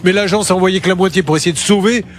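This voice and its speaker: A man hosting a live radio show from the studio, his voice sitting a little high for a man. He has a cold and a runny nose, talks in an animated way, jokes, and cuts people off. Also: a little hiss or hum. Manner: animated, quick to interrupt, joking. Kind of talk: live consumer-help radio talk.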